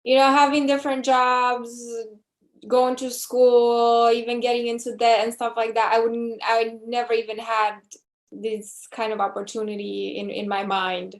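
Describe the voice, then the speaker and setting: A woman's voice: fairly high pitch, level tone, measured pace. A woman raised in the USA, talking casually as an interview guest. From born in Romania, raised in the USA. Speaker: interview guest, online seller.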